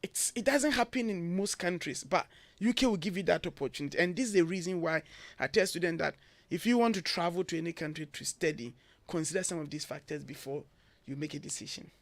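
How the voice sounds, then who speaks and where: A man's voice: fairly high pitch, fairly quick, dry recording. A man from Ghana with a Ghanaian accent, a guest on a morning radio show, giving earnest advice point by point. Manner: earnest, emphatic, lists points. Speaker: guest, education agency head. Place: radio studio.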